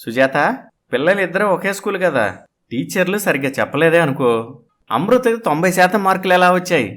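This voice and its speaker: A man's voice: high voice, expressive, fairly bright tone. Mild, reasoning father, played by a man. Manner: measured, reasoning. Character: reasonable, mild middle-aged father and husband. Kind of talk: Telugu animated moral story acting.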